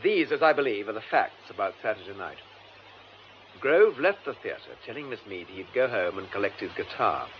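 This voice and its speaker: Composed English detective superintendent, radio drama. Formal, methodical, varied intonation, slightly noisy recording. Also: male voice, on the low side, big pitch swings. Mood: measured, explanatory. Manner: measured, formal, precise delivery. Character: composed, methodical male police superintendent explaining a case. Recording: muffled lo-fi radio drama, faint noise.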